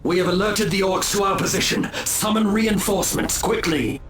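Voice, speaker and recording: A man's voice: sits high, normal speed, natural voice. A man voicing a stern, scornful alien elf ranger in a video game, speaking formally and with superiority. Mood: wary. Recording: loud music behind.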